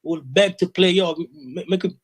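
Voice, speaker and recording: A fairly high-voiced man talks in an earnest, reflective way, filling his sentences with "you know". The sound is dry and close, with no room around him. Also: moderate pace, breathy edge, no room sound. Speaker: interview guest, sound system selector. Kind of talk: casual interview.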